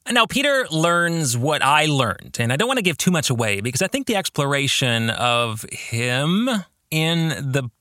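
A middle-aged American man hosting a podcast, speaking in a reflective, explanatory monologue with measured phrasing and occasional self-corrections. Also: a little high, very animated, bright, forceful tone, no echo, studio quality. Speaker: podcast host and author.

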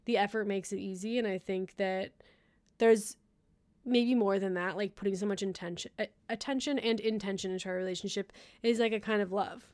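A woman's voice: medium pitch, steady tone, fairly quick, darkish tone, no room sound. A woman hosting a podcast, chatting casually with her guests, laughing often and filling her talk with "like" and "um.". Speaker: podcast host.

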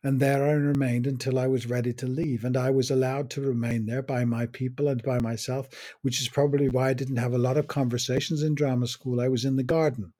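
A man, reading a slow, reflective personal monologue as a podcast host. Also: medium pitch, flat delivery, warm tone. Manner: measured, musing, with long pauses between short phrases.

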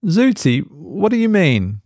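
A worn-out, irritable office worker played by a male narrator speaks in a strained, pitched-up voice that keeps jumping around. The tone is gravelly. The recording is close and dry. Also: very animated, slow, a little mellow, no room sound, full character voice. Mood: uneasy. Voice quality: strained, rising in exasperation.

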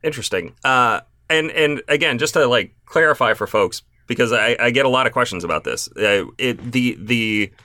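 A man from the United States interviewing as a podcast host, conversational and halting as he rephrases his questions. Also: medium pitch, pushed and bright, dry recording, clean studio sound.

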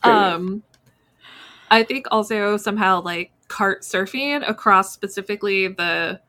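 A woman's voice: mid-range voice, measured pace, pushed and bright. A woman hosting a librarians' podcast, leading a casual, informal group chat.

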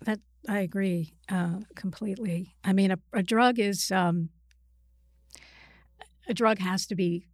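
A woman's voice: medium pitch, steady tone, unhurried, pauses often, dry recording, clean studio sound. A middle-aged or older woman speaking as an expert interview guest, reflective and hesitant, often correcting herself mid-sentence. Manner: hesitant, hedging, self-correcting. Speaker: psychiatrist and author, interview guest.

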